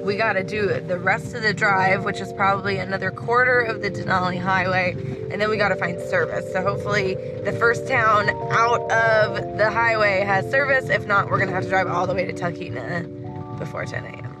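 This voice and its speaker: A woman's voice: mid-range voice, fairly even intonation, fairly quick, loud music behind the voice. A young adult woman, chatting casually and narrating as a travel vlogger. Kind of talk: casual vlog chat and narration. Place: inside a camper van and outdoors.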